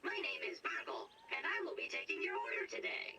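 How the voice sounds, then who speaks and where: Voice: a little fast, pushed and bright, distant mic. An actor voicing a polite, fussy burger-flipping robot, with a processed synthetic voice, formal wording and glitchy stutters, in a game audio log. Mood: cheerful, scripted. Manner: formal, literal, stuttering on words. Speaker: robot assistant.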